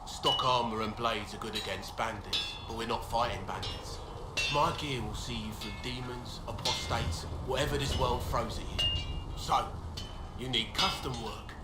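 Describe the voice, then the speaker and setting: A man playing a gruff, practical armourer in a video game, terse and matter-of-fact. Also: medium pitch, moderate pace, close to an everyday voice. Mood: gruff, businesslike. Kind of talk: video game dialogue acting. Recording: roomy game audio under loud music.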